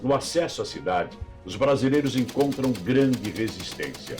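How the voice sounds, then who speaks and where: A man's voice: mid-range voice, expressive, moderate pace, a little mellow. A man with a Brazilian accent narrating a scripted documentary in a solemn, dramatic, rhetorical manner. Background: background music.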